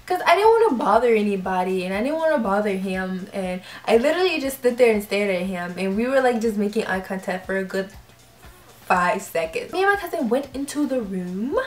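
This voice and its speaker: A young woman vlogger telling a story casually, acting out her own reactions with lively quoted voices. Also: medium pitch, brisk. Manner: animated, acts out quoted reactions. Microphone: camera mic. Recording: roomy echo.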